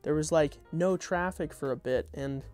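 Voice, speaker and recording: A man's voice: on the high side, moderate pace, darkish tone, faint music behind. A young adult man doing an informal table read of his own comedy script, dropping in wry, off-the-cuff asides. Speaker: content creator reading own script.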